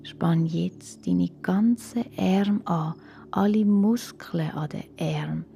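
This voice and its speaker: A woman with a Swiss German accent guiding a relaxation meditation in a calm, soothing, hushed and breathy voice. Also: fairly low pitch, slow. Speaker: guided relaxation instructor for children. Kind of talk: slow guided yoga nidra meditation. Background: soft music.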